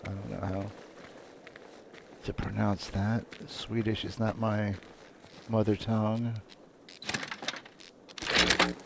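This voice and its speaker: Gravelly low-voiced man narrating gameplay. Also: slow, pauses often, very noisy. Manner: relaxed, muttering asides while playing. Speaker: gameplay commentator. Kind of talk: casual let's-play commentary.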